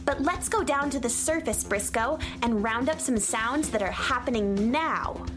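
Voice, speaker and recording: A woman's voice: fairly high pitch, expressive, unhurried, music in the background. A woman playing a cheerful, curious eight-year-old space girl in a children's radio play. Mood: excited.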